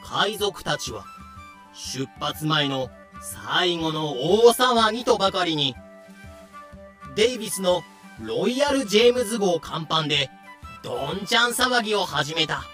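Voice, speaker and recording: A woman's voice: on the low side, lively intonation, unhurried, fairly bright tone, soft background music. A woman narrating a scripted story in an audio drama.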